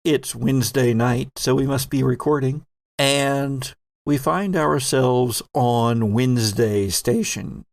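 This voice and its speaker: Slow, deliberate man narrating as game master. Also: mid-range voice, gravelly, no echo. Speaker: host and game master of an actual-play podcast. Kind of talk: narrating a tabletop game with casual banter.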